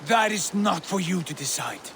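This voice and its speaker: Imperious, high-pitched Viking lord. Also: male voice, lively intonation, normal speed, gravelly, pushed and bright, a little hiss or hum, exaggerated voice. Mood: imperious, angry. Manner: imperious, scolding. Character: arrogant, hot-tempered Viking lord, scolding elder brother. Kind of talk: video game cutscene acting.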